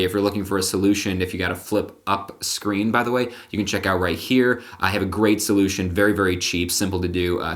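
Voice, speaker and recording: A man with a deep voice, vlogging casually to the camera and explaining gear with frequent restarts. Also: fairly bright tone. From Connecticut, USA. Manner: chatty, explanatory, with filler restarts. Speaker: vlogger and magician. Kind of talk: casual vlog talk to camera. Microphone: camera-mounted shotgun mic.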